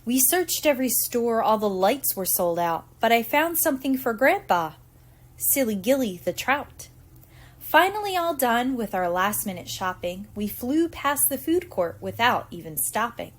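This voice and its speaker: A woman reading a picture book aloud to young children in an expressive, theatrical storytelling manner. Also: fairly high pitch. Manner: deliberate, theatrical storytelling with acted emphasis. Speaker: preschool teacher reading aloud.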